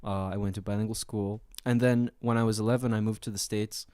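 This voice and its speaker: A young man from Sweden, a jazz pianist, answering interview questions in relaxed, chatty storytelling full of fillers. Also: fairly low pitch, quick pace, clear, resonant tone, no room sound, studio quality.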